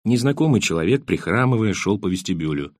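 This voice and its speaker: A man narrates a literary audiobook in a low voice with lively, wide-moving intonation. The sound is dry and close, suited to scripted prose and its dialogue. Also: fairly quick, dry recording.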